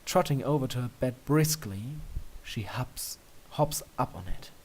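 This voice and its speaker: Man narrating a story, medium pitch. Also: unhurried, darkish tone, low-quality recording. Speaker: fan fiction reader. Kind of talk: scripted second-person story narration.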